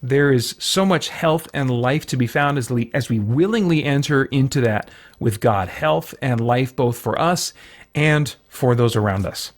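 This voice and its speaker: A man hosting an informal Bible study for an online audience, talking in a casual, halting way as he thinks aloud with frequent asides. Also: mid-range voice. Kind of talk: informal sermon, conversational Bible teaching.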